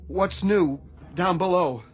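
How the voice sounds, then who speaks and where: An actor playing a haunted, anxious navy chief in a radio drama, halting and repeating his phrases. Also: high-pitched, a little hiss or hum. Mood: uneasy, hesitant.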